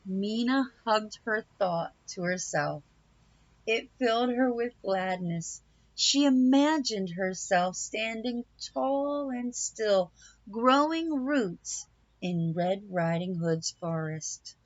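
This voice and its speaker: A woman reads a picture book aloud at a slow, deliberate pace. Her delivery is expressive and aimed at young children. The recording is dry and slightly dull. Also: medium pitch, dry recording. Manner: deliberate, animated storytelling with asides to young listeners. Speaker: storybook reader.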